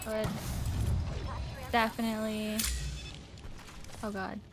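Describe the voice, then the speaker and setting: A woman with a raspy voice streaming a game, chatting casually with playful, crude banter. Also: medium pitch, completely flat delivery, slow pace, very noisy. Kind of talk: casual gaming chat.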